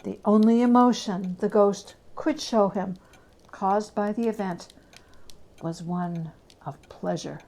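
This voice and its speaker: A woman giving a measured, expressive dramatic reading of a classic story as a storyteller. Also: medium pitch.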